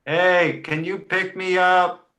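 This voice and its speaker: A man voicing a cheeky, crude talking baby boy in an animated comedy table read, sarcastic and blunt. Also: high voice, takes it slowly, exaggerated voice. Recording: roomy echo.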